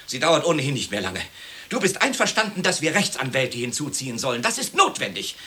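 Histrionic husband, wildly swooping. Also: male voice, mid-range voice, very animated, fairly quick, sharp tone. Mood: agitated, arguing. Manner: overwrought, emphatic, swinging delivery. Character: exasperated, histrionic husband in a farcical marriage. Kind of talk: theatrical German radio comedy acting.

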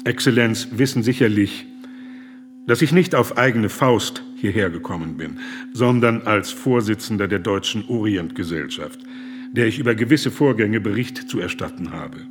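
A man acting in a German radio drama as a deferential, earnest envoy, formal, careful and halting. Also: lowish voice, animated, background noise, close to an everyday voice. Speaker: actor in a radio play.

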